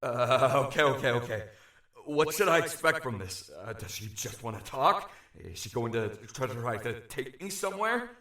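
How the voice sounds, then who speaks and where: A man's voice: mid-range voice, animated, moderate pace, bright, forceful tone. A man playing an anxious, wary young shapeshifter, thinking aloud in a nervous, hesitant inner monologue for an audio drama. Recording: echoey, distant-sounding inner-voice treatment.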